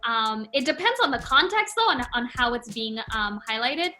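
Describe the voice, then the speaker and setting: A bright, higher-pitched woman in her late twenties who grew up in Malaysia talks through interview questions about her art. She speaks thoughtfully and casually, filling her pauses with ums. Faint music plays behind her. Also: very animated. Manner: thoughtful, many filler ums, breaks into laughter. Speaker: interviewed artist.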